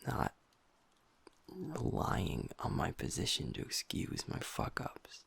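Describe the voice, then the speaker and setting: A man as a hushed, gravelly young werewolf. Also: deep voice, huge pitch swings, takes it slowly, in a whisper, full character voice. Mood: frustrated. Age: young adult. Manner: soft, hushed, swearing, self-critical. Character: troubled young werewolf man, pack's second in command. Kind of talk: intimate audio roleplay.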